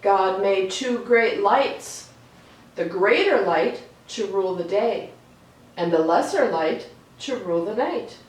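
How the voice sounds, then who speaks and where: A woman reading aloud for a children's storytime in a slow, deliberate, expressive way. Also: mid-range voice. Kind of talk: read-aloud storytime with chatty asides. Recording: echoey room, distant mic, faint noise.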